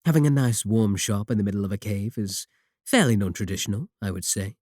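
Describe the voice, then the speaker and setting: A man playing a courteous, manipulative warlock shopkeeper in a roleplay audio, coaxing and flattering his guest with polite, unhurried talk. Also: fairly low pitch, no room sound, clean studio sound, close to an everyday voice. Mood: courteous, welcoming. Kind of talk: second-person roleplay audio acting.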